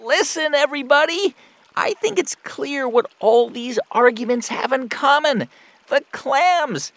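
An actress playing an eager, earnest beaver with a creaky, expressive voice in a children's audio folktale. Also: female voice, high-pitched, unhurried, no echo, full character voice. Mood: rallying, determined. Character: eager, busy, earnest beaver, sociable and sincere.

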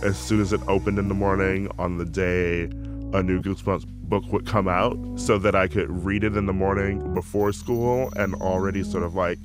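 Deep-voiced chatty man. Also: steady tone, normal speed, over music. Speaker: writer and podcast host. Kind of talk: casual interview.

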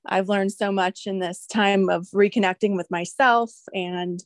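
A woman of middle pitch reflects calmly on a group call. Her sound is dry and close, with no room echo. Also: unhurried. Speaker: call participant. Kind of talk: personal sharing on a video call.

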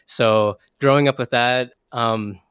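A man's voice: medium pitch, fairly even intonation, slow pace, a bit bright, clear, resonant tone, no room sound, studio quality. A young American man chatting casually as an interview guest, with an informal, slangy, effusive way of talking. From southern Minnesota, United States. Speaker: interview guest, wildlife photographer.

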